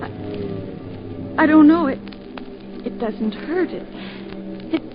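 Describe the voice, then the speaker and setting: An actress playing Carol, a young wife wounded and fading, in a 1940s radio play, halting and breathy. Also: female voice, sits high, expressive. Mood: weak. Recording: dull 1940s radio broadcast with music.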